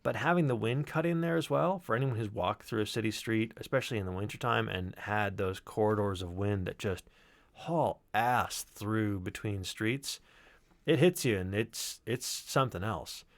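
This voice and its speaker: A man's voice: fairly low pitch, normal speed. A man presenting as a sound designer, talking through his work in a reflective, conversational way. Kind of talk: informal explanatory walkthrough on a livestream.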